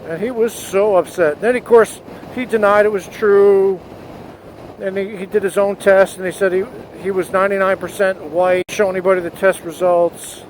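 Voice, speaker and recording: A man's voice: high voice, low-quality recording. A man from the United States giving an earnest spoken essay while riding his motorcycle, speaking into a helmet headset mic. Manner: earnest, rising to patriotic fervor. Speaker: motovlogger. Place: on a motorcycle outdoors. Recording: wind noise on helmet mic.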